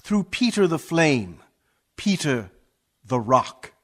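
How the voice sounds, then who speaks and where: A man plays a fond, doubting townsman in a radio elegy. His voice is slightly high, swinging widely in pitch as he speaks at a slow pace. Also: very animated, background noise. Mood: fond, elegiac. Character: doubting townsman, fondly mourning a village priest. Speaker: actor in a community theatre radio play. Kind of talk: elegiac verse monologue.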